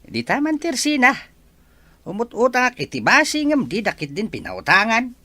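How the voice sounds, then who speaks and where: A jovial old Ilocano grandfather fond of his drink, acted by a man in an audio drama. His voice is bright and very animated, recorded dry. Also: very high voice, normal speed, no room sound, heavily acted. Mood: jovial, chuckling.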